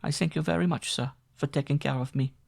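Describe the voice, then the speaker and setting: A man voicing a small, quiet young foreign woman with a gentle accent. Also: fairly high pitch, fairly even intonation, fast talker, creaky, voice pushed up. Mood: soft. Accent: foreign accent put on by reader.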